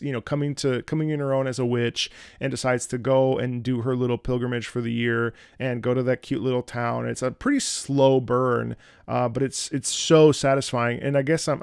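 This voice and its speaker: A man's voice: medium pitch, vocal fry, smooth, no room sound, clean studio sound. A man in his thirties giving casual reaction commentary in a musing, thoughtful way that often trails off. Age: 30s. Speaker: reactor and host, opera singer.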